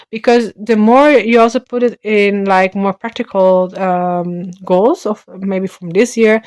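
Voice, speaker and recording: A young woman talks to her viewers in a loose, chatty way. Her voice is smooth and clear at a middle pitch. She wanders through her points with plenty of restarts and "like" and "um.". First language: Slovak. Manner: chatty, rambling with many restarts and fillers. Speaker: lifestyle vlogger. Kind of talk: casual chat to camera.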